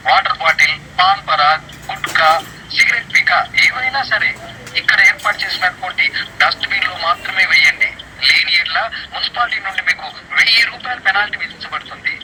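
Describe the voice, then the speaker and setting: A man with a high, very piercing voice delivers a formal public notice. He speaks instructively, as a municipal announcer would. It plays through a loudspeaker with faint street noise behind it. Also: fairly quick. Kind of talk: scripted public service announcement. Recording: noisy street loudspeaker playback, telephone-like.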